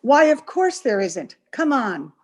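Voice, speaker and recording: A woman's voice: high voice, very animated, slow, exaggerated voice. An actress playing a domineering, hard-headed, scornful woman, bossy and impatient, in the style of a 1930s radio horror play. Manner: bossy, sharp-tongued, impatient.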